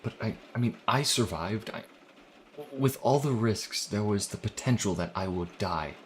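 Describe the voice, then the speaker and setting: A man playing an earnest, anxious young priest healer in an audio drama, speaking in a halting, hesitant way. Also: on the low side, animated, slight background noise, close to an everyday voice. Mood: troubled. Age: young adult.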